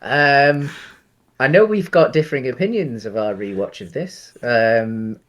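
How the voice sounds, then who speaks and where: Man, average pitch, podcast co-host, casual film chat, hesitant, meandering, hedged opinions. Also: measured pace, fairly bright tone.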